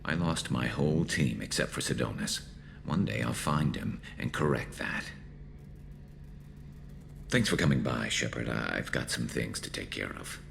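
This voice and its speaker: Very deep, gravelly alien vigilante. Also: male voice, expressive, fairly bright tone, heavily acted. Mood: bitter, determined. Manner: measured, dry, brooding. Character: grim alien mercenary vigilante, male, haunted and vengeful. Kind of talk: video game dialogue acting.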